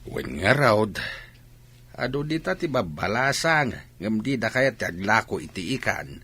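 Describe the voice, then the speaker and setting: A man with an Ilocano accent playing a patient older fisherman father in a radio drama, with a gruff, husky voice. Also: fairly low pitch, big pitch swings, a little slow, sharp tone, exaggerated voice. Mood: concerned.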